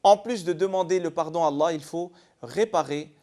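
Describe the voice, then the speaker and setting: A man's voice: on the high side, fairly quick, warm tone. A man from eastern France giving an explanatory religious talk as a panel guest, in a didactic way with rhetorical questions.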